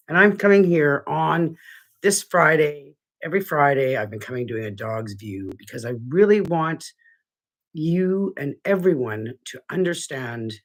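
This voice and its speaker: A man's voice: lowish voice, vocal fry, breathy edge. A middle-aged man, a dog trainer, talking earnestly to camera from his living room, stuffy and congested, rambling with restarts. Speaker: dog trainer hosting a weekly show. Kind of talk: casual talk to camera.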